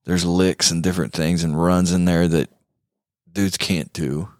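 Deep-voiced man in emphatic, slangy chat. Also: flat delivery, measured pace, dry recording, studio quality. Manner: emphatic, slangy guitar-enthusiast talk. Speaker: co-host of a guitar watch-along show. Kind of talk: casual chat.

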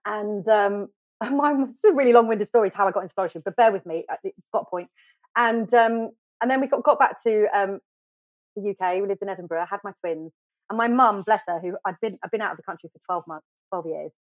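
Podcast guest: middle-aged UK woman, lively and self-deprecating, dry studio sound, a touch dull. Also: mid-range voice, fairly quick, no room sound. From United Kingdom. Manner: animated, chatty, self-deprecating, many fillers and restarts. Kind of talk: casual storytelling interview.

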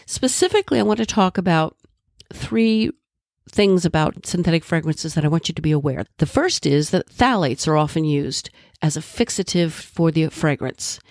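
A woman's voice: low voice, lively intonation, normal speed, darkish tone, dry recording, studio quality. A woman podcast host with a congested, nasal voice from a cold, giving a friendly solo explanation with asides. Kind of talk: solo educational podcast talk.

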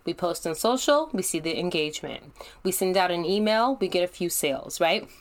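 A woman's voice: lowish voice, animated, fairly quick. A young adult woman hosting her own podcast, talking in an upbeat, conversational and encouraging way. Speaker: podcast host. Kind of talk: solo podcast monologue.

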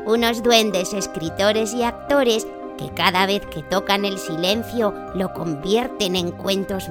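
Presentadora infantil cercana con música. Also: female voice, medium pitch. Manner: friendly, chatty address to a child. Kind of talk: scripted introduction addressed to children. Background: music.

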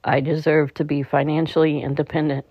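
A meditation guide speaking in a calm, slightly halting way, leading a slow guided relaxation. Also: medium pitch, husky, no echo. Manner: calm, halting delivery with stumbles. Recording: dull, muffled lo-fi recording.

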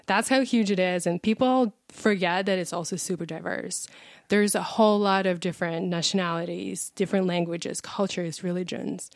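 A woman's voice: on the low side, fairly even intonation, normal speed, no room sound, clean studio sound. A woman in her thirties, born and raised in Russia, chatting as a podcast guest and explaining things with examples. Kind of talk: casual podcast interview.

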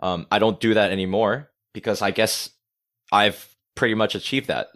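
An energetic man, a podcast guest talking casually and swearing freely. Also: lowish voice, moderate pace. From United States. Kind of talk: casual conversation.

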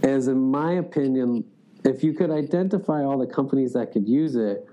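Mellow-voiced man speaking casually. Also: mid-range voice, normal speed, highs cut off. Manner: loose, filler-heavy, frequent "like". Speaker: sales executive guest. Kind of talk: casual interview talk.

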